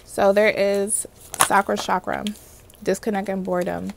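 A woman with a Haitian accent reads tarot in a casual, chatty way, checking in with her viewers again and again. Her voice has a gravelly edge at a middle pitch. Faint hiss sits under the recording. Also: little pitch movement, moderate pace. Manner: chatty, repeats "okay?" and "universe, clarify" while pulling cards. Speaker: tarot reader. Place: indoors at a table covered in card decks.

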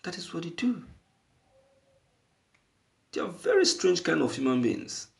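Slow, gravelly man giving emphatic commentary. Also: fairly high pitch, huge pitch swings. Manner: emphatic, repeating phrases for stress. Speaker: online news commentator. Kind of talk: opinionated spoken news commentary.

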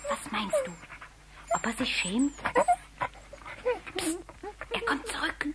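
A woman's voice: high-pitched, wildly swooping pitch, slow, heavily acted. An actress playing a chatty, precocious, well-off little girl in a 1960s German children's radio play. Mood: musing. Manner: precocious, breezy, theatrically polite. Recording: noisy 1960s vinyl record.

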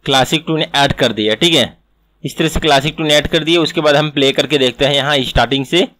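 Higher-pitched man giving a tutorial. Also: fast talker, bright, forceful tone. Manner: step-by-step, repetitive explaining. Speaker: animation tutor. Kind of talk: screen-recorded software tutorial.

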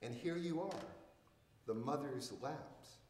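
Older male poetry reader, unhurried and measured, recorded in a reverberant hall. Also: mid-range voice, darkish tone, a little hiss or hum. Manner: deliberate, measured phrasing. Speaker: poet reading at a public reading. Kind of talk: poetry recitation. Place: indoors in a church hall.

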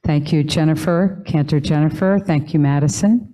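Low-voiced woman reading congregation announcements. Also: normal speed, dark tone, poor audio. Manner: friendly, chatty delivery. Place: synagogue sanctuary.

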